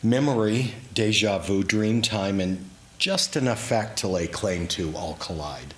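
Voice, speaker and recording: Man, deep voice, author reading memoir, measured fragmentary phrasing, echoey live room. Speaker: author reading his own script. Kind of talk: dramatic literary reading of a memoir. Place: room in a historic house, live event.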